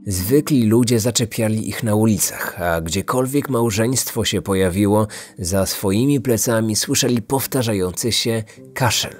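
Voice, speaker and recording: Casting: male true crime podcast narrator, low register, scripted delivery over music. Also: unhurried, few pauses.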